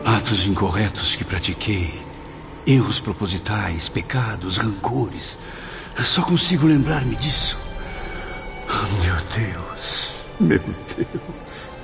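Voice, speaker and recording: A man playing a remorseful suicide tormented in darkness in a radio drama, with a gravelly, anguished lament. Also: on the low side, expressive, slow pace, exaggerated voice. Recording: muffled audio with music behind.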